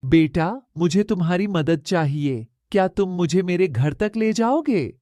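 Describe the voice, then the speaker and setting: A woman voicing a kindly, mysterious old grandmother in a cartoon story, speaking in a soft, pleading elderly tone. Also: on the low side, expressive, unhurried, no echo, studio quality. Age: older.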